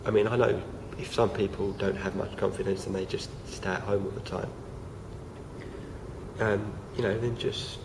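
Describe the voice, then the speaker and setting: A young man, croaky from feeling unwell, talking casually to camera with many fillers and restarts. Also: fairly low pitch, little pitch movement, normal speed. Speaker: vlogger. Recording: noisy home recording.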